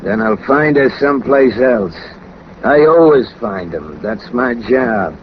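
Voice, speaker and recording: A man's voice: mid-range voice, expressive, slow pace. An actor playing a lonely, businesslike hired killer in 1940s radio crime drama, talking in casual, ungrammatical tough-guy fashion with matter-of-fact menace. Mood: chatty, coolly menacing. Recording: dull, noisy old 1940s radio recording.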